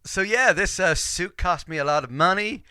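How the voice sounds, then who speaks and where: A man's voice: high-pitched, lively intonation, moderate pace, sharp tone, clean tone, dry recording, studio quality, full character voice. A man playing a brazen, casual doctor in improvised comedy, rambling and hesitant as he butts in. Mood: puzzled, boastful. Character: brazen, casual male doctor in a robot suit. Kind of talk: improvised comedy audio drama.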